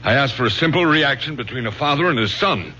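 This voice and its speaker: A man's voice: medium pitch, expressive, fast, bright, forceful tone. An actor playing a protective middle-aged father, a college professor, with an American accent and a firm, urgent manner in radio drama style. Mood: urgent, interrogating. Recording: dull lo-fi old radio broadcast, faint hum.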